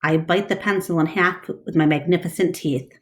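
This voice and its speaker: A woman reading a children's book aloud in an expressive, deliberate storytelling style. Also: lowish voice.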